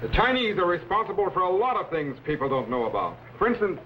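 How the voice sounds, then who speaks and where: An assured American scientist captain, with a high, animated voice and a patient teaching manner. It is old sci-fi serial acting on a muffled vintage soundtrack. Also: male voice, fast. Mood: assured, explaining. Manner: didactic, assured. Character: authoritative male scientist captain, calm, patient mentor. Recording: dull, noisy vintage broadcast soundtrack.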